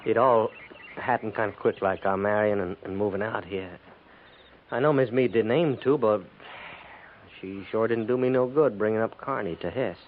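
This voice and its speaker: Man acting a halting, troubled frontier cavalry trooper. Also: lowish voice, natural voice. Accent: rural American West. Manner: halting, folksy, deferential. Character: troubled, plainspoken frontier cavalry trooper, newly remarried widower. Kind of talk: 1950s radio drama acting. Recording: tinny, noisy 1950s radio recording.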